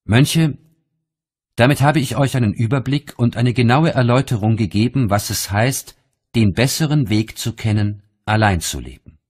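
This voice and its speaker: A man narrating an audiobook of spiritual teachings in a measured, deliberate, solemn reading style. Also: medium pitch, a little mellow, husky.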